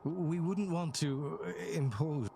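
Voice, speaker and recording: A man's voice: on the high side, takes it slowly, creaky, dark tone, a little hiss or hum. An actor playing a polite, modest man in a mystery game, hesitant and courteous. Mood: politely modest.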